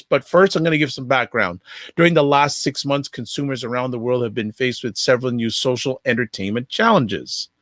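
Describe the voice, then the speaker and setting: A man's voice: medium pitch, fairly bright tone, no echo, clean studio sound. A man hosting an online talk show, reading an event introduction and tripping over words, then interviewing two guests. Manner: stumbles over words, laughs it off. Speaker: show host.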